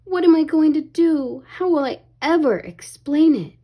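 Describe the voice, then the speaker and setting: A woman's voice: sits high, normal speed, dull audio, voice pushed up, natural voice. A woman voicing a young mermaid princess in a children's storybook, eager and anxious, with a breathless, exclamatory manner. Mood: distressed.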